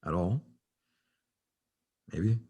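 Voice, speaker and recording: Man playing a husky, low-key guy. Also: extremely slow, mellow, lo-fi sound, heavily acted. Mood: hesitant. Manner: hesitant, understated. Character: hesitant, low-key male undercover team member. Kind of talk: amateur table read of TV drama script.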